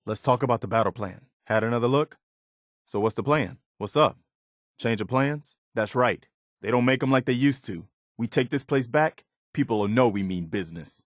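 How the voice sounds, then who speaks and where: An actor voicing an earnest, resolute militia leader who rallies settlers, in video game dialogue. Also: male voice, medium pitch, expressive, normal speed, no echo, studio quality, close to an everyday voice. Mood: businesslike.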